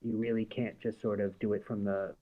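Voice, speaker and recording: A man's voice: lowish voice, flat delivery, fairly quick, phone-quality sound. A man in his forties from the United States, a podcast guest telling stories in casual conversation, laughing often and restarting with fillers. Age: 40s.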